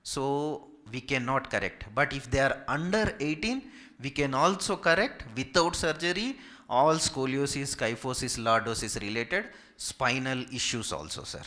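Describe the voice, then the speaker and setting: Man, Telugu Indian accent, bright forceful voice, mid pitch, polite physiotherapist, informal medical presentation, repetitive emphatic lists. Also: expressive, normal speed. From Hyderabad, India.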